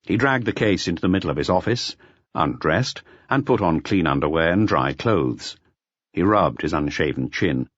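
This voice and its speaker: Male storyteller for crime audiobook: lowish husky voice, expressive range, dry but slightly muffled recording. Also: normal speed, dry recording. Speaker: audiobook narrator. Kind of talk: scripted narration of a detective novel.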